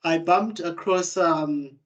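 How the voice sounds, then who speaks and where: A man's voice: on the high side, slow, muffled recording. A young man from Zimbabwe, a student, presenting on a video call with many fillers and restarts. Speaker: student speaker. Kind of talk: online open day presentation.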